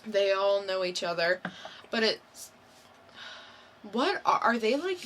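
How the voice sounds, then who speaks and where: A woman's voice: medium pitch, very animated, slow, a bit bright, a little hiss or hum. A woman co-hosting a podcast, chatting casually and informally about comics, often thinking aloud in a hesitant way. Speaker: podcast co-host reviewing comics. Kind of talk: casual chat.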